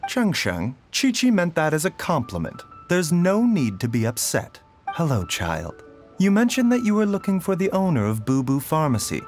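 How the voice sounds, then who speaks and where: An actor playing a gentle, composed doctor in a video game, polite, formal and soothing. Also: male voice, on the high side, huge pitch swings, moderate pace, soft background music. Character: gentle, courteous doctor, soft-spoken and composed.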